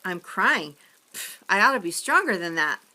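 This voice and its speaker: A woman voicing a blunt, gravelly older woman neighbor in a storybook reading. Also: medium pitch, big pitch swings, normal speed, sharp tone. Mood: teary.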